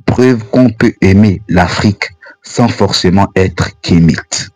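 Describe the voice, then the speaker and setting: A man's voice: deep voice, slow pace, a little mellow, dry recording. An African man whose first language is not French, reading an earnest, admonishing voice-over commentary for a religious channel. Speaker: Islamic channel narrator.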